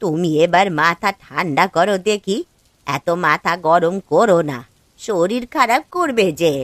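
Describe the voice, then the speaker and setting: Very expressive village wife. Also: female voice, on the low side, measured pace, dry recording. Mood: concerned. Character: concerned village wife, plain-spoken and soothing. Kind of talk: cartoon dialogue acting.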